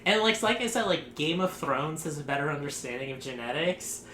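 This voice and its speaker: Bright-voiced sarcastic man, echoey room. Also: a little high, animated, brisk. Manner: rambling, sarcastic, laughs often. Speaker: podcast host. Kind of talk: casual group podcast chat. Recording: echoey room, faint noise.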